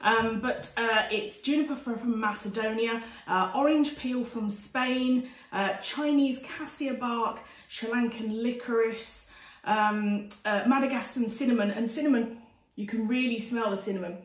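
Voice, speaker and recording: A woman's voice: a little high, measured pace. A woman in her forties presenting an informal cookery demonstration to camera in a chatty way, recorded in a dining room. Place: domestic dining room, indoors. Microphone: camera a few steps away. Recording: roomy, distant camera microphone.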